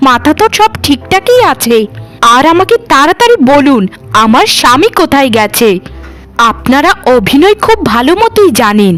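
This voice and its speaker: A woman's voice: high voice, very animated, moderate pace, a bit bright, faint music behind. A woman voicing a wronged, firm and defiant young daughter-in-law in a cartoon. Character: wronged young daughter-in-law turned bold, threatening giantess. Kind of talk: cartoon voice acting.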